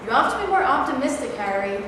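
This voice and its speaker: A woman playing a calm, supportive middle-aged presidential wife in a staged play reading. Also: sits high, fast. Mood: gently encouraging. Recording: echoey hall, distant mic, noisy.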